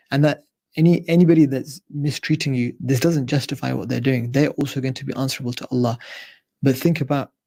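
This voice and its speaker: A man's voice: medium pitch, dark tone. A man giving an earnest live radio sermon, building his points through repeated lists. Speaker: radio presenter giving an Islamic talk.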